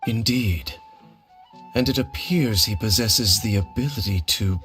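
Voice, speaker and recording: A grave, low-voiced mysterious wanderer. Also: male voice, soft background music. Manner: formal, measured, calm. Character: grave, measured male wanderer, mysterious and reserved. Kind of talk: video game dialogue.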